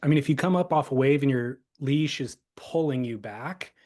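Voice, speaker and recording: A man's voice: medium pitch, moderate pace, darkish tone. A man hosting a surf podcast, chatting casually and easygoing over a video call. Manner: easygoing, conversational with fillers. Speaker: podcast host. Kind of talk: casual chat.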